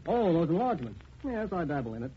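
A man's voice: a little high, big pitch swings, fast talker, slight background noise. An actor playing an anxious, indignant, well-to-do middle-aged father, agitated and emphatic, in a 1940s radio drama. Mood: strained, polite. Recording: dull 1940s radio recording.

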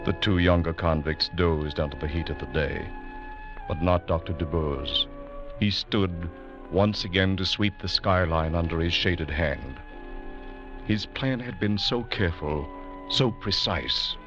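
A man narrating an old radio adventure drama as a grave storyteller, in a measured, dramatic way. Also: sits low, over music. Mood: grave, suspenseful. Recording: dull vintage radio recording.

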